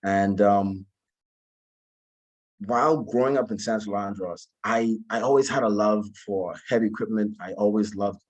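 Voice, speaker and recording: A man, giving an informal talk about his own story in a polite, humble way, often halting and repeating words. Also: on the low side, a little mellow, dull audio. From Bahamas. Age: 20s. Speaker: guest speaker, alumnus.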